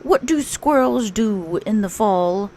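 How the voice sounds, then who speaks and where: A woman voicing a gentle male storybook bear, speaking slowly in a drawn-out, musing way. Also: medium pitch, expressive. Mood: curious. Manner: slow, drawn-out, musing delivery. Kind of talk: children's storybook character voice.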